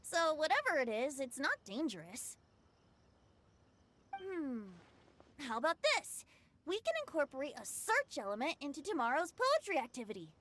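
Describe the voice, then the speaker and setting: A boyish young bard from an anime game, very high in pitch with lively, swooping intonation. The character is breezy and carefree, teasing lightly and speaking in lyrical turns of phrase. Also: female voice, moderate pace, pauses often. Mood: teasing, easygoing.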